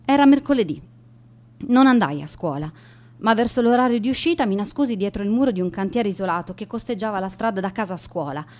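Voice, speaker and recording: An actress playing a guilt-ridden, bullied teenage schoolgirl in a radio drama monologue, confessing in a halting, emotional manner. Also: medium pitch, animated, a little mellow, lightly acted. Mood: tense, bitter confession.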